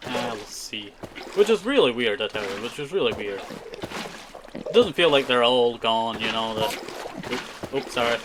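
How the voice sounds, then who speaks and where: A male game streamer from Scotland, a native Scottish Gaelic speaker, talks in a medium-pitched voice. He rambles casually through his commentary, echoing his own short phrases as he chats to viewers. Clear noise sits behind the voice. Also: expressive, moderate pace, long pauses. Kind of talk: casual live gaming commentary with chat.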